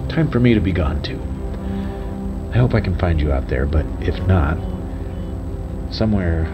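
An actor playing a weary, resigned male radio host who talks haltingly and broodingly in an audio drama. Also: low voice, animated. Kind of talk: audio drama monologue as a live radio broadcast. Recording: dull band-limited broadcast sound under music.

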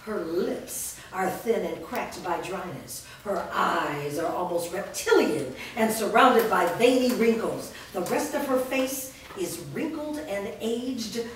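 A woman's voice: mid-range voice, slight background noise. Woman reading stage directions in an echoey hall. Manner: steady, descriptive. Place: large studio room. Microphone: far camera mic. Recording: echoey room from far off.

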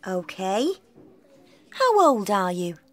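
A woman voicing an adult teacher at a school club desk, asking questions slowly and very clearly in a scripted textbook dialogue. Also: medium pitch, sing-song. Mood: polite. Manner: slow, clearly enunciated questions. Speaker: textbook audio dialogue reader.

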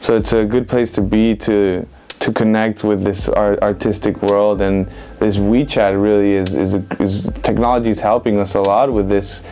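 A young man in his twenties from Venezuela, giving relaxed, rambling interview answers full of "like" and "um". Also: lowish voice, normal speed, faint music behind. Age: 20s. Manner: relaxed, rambling with many fillers like "like" and "um". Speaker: interviewed street photographer. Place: indoor room with bookshelves.

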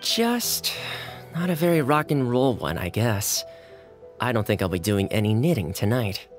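A man voicing a friendly, easygoing young housekeeper who speaks in a gentle, sociable way, in video game voice acting. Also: medium pitch, sing-song, measured pace, soft background music.

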